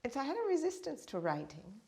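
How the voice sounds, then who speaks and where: A woman's voice: a little high, huge pitch swings, fast talker, creaky, mellow. A middle-aged woman from Uganda whose first language is Swahili, a meditation teacher speaking in an interview in an earnest, flowing way that repeats phrases for emphasis. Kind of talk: interview conversation.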